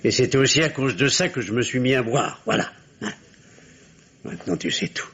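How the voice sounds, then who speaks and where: An actor playing a remorseful, weary middle-aged father in a radio drama, husky and grave. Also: medium pitch, animated, normal speed, a bit bright, highs cut off. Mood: grave confession. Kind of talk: French radio drama acting.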